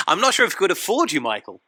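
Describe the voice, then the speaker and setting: A male interviewer with a bright voice and animated intonation. He sits a little higher in pitch than most men. He puts questions casually and tentatively, listening with curiosity. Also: slightly rough. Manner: curious, attentive, tentative phrasing. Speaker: interviewer, host.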